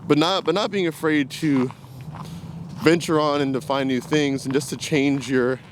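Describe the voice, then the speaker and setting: A man's voice: a little high, steady tone, normal speed, background noise. A man in his twenties talking casually and reflectively to camera, rambling and hesitating as he walks outdoors. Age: 20s. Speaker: vlogger. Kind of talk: casual unscripted talk to camera. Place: outdoors, walking in woods. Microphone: camera carried while walking.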